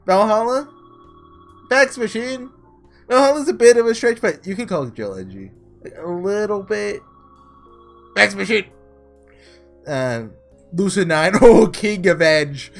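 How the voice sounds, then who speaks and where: A man doing a casual let's play, reading story text aloud and breaking off into excitable asides, with game music behind him. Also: high-pitched, a little slow, creaky, pauses often. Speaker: gaming video creator doing a blind playthrough.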